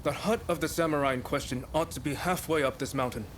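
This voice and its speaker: An actor playing a loyal, determined young samurai comrade in a video game, speaking with earnest urgency. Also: male voice, a little high, fast, slight background noise, lightly acted. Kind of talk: dramatic video game acting.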